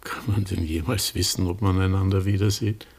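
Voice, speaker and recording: An actor playing a resigned family doctor in a German radio drama, speaking with restrained, formal, evasive courtesy. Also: male voice, deep voice, steady tone, brisk, vocal fry, slightly rough, exaggerated voice. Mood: resigned, guarded.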